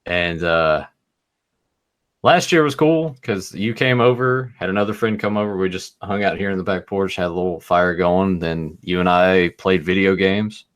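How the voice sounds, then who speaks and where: A man's voice: low voice, moderate pace. A man hosting a podcast in casual chat, telling rambling stories with free swearing and laughing at himself. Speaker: podcast host.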